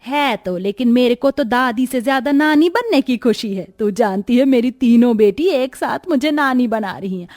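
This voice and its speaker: A woman voicing a domineering middle-aged mother-in-law in a cartoon story, sharp and nagging in manner. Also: on the high side, lively intonation, normal speed, hardly any pauses, lightly acted. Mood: boastful. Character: haughty, scolding middle-aged Indian mother-in-law, doting on her daughters. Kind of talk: acted Hindi cartoon story dialogue.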